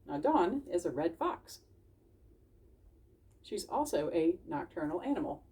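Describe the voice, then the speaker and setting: A woman's voice: fairly low pitch, big pitch swings, unhurried, warm tone, slightly rough, recorded from a distance. A woman reading a children's story aloud, explaining it simply and putting questions to the listeners. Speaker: library storytime reader. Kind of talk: animated children's storybook read-aloud with asides.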